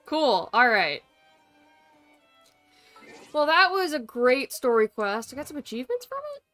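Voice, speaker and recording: A woman's voice: sits high, very animated, a little slow, fairly bright tone. Blunt female streamer, faint music behind. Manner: blunt, sweary, informal. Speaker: live streamer playing a video game. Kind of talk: casual game commentary.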